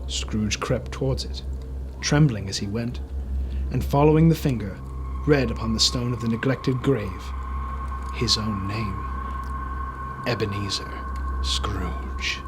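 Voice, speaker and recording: A man's voice: lowish voice, slow pace, noisy background. A man narrating a classic story as a storyteller, reading expressively from a script. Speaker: storyteller, reader.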